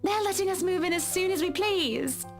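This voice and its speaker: A woman's voice: very high voice, moderate pace. A woman voicing a poised, wealthy wife who talks business in a refined, assured way. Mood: businesslike. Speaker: wealthy client. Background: game music.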